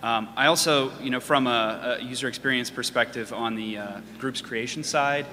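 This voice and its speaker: A man presenting his startup product in a measured way with frequent fillers. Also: medium pitch, sharp tone. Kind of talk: product pitch. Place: conference hall. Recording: long hall reverb, faint hum.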